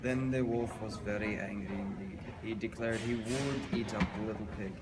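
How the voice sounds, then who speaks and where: A man's voice: fairly low pitch, steady tone, moderate pace. A man telling a children's fairy tale as a dramatic storybook reading. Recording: noisy, reverberant hall recording.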